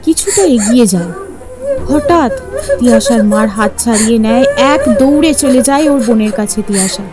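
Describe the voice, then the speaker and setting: A woman's voice: on the high side, very animated, normal speed. A woman narrator reading a scripted audio story. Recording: music clearly under the voice.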